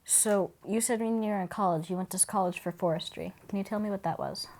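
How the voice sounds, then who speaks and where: A woman's voice: medium pitch, quick pace, a little mellow. A young female student asking prepared questions as an interviewer.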